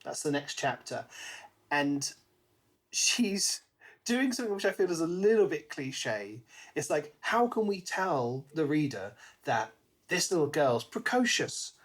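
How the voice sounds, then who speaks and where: A man's voice: fairly high pitch, a bit bright. British man chatting about books. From Britain. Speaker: podcast co-host. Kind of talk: casual book discussion.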